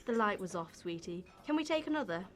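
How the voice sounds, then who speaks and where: An actress playing a cheeky, quick-witted thief with a sugary act in a playful radio drama. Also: female voice, medium pitch, big pitch swings, close to an everyday voice. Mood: coaxing. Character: cheeky, quick-witted female thief posing as sweet fiancée.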